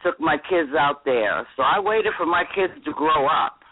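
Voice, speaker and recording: A woman in her seventies from Connecticut, telling stories as a guest in a radio interview in a chatty, lively way. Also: sits low, normal speed, husky, no room sound. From Connecticut, USA. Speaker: fashion designer guest. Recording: dry, tinny phone-like radio sound.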